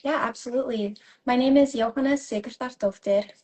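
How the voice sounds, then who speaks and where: A woman's voice: on the high side, a little fast, a little mellow. A woman in her twenties from California, a ballet dancer and teacher, speaking earnestly as an interview guest and now and then checking notes. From San Jose, California. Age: 20s. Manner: earnest, at times reads from notes. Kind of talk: casual interview chat.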